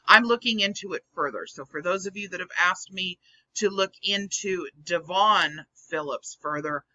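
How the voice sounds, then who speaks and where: A vlog host with a hoarse, scratchy voice, earnestly explaining the psychology behind the topic in casual commentary. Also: mid-range voice, pushed and bright. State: hoarse, losing voice. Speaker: channel host. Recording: dull lo-fi recording.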